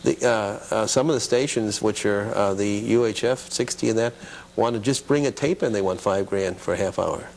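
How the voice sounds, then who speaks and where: Male interview guest, middle-aged or older, lowish voice, casual and halting, doubles back on phrases. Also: darkish tone. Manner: halting, repeats himself. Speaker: interview guest, independent TV producer. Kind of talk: casual reminiscing chat.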